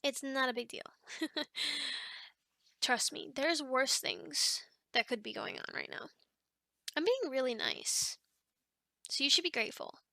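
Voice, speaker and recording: A woman's voice: high-pitched, very animated, takes it slowly, gravelly, pauses often, pushed and bright, no room sound, clean studio sound, exaggerated voice. A woman voicing a chatty, teasing female sleep paralysis demon in an ASMR roleplay, talking in a casual, rambling, hesitant way. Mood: teasing, reassuring. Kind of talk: ASMR roleplay, one-sided conversation.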